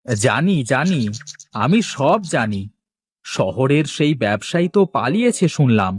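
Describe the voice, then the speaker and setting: A man's voice: mid-range voice, big pitch swings, unhurried, slight background noise. A man playing a self-assured, knowing village man who speaks respectfully in a cartoon. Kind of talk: cartoon dialogue acting.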